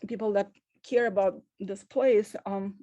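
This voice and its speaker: Woman, fifties, earnest and halting, mellow voice, dry sound. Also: medium pitch, fairly even intonation, no room sound. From Russia. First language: Russian. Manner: earnest and halting, with many pauses. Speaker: panelist. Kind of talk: panel talk on a video call. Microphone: video call.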